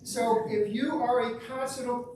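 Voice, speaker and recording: A man moderating a political forum speaks casually, with frequent pauses. He sounds distant, and a long echo and faint background noise fill the hall. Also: very high voice, fairly even intonation.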